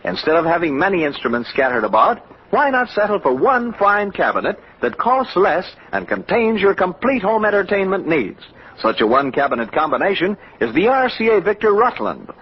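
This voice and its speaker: Male American announcer for period radio ad; high, husky, persuasive, old-broadcast sound. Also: sing-song, fairly quick. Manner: polished, persuasive, addressing listeners directly. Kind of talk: mid-century American radio advertising read. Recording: muffled old radio recording.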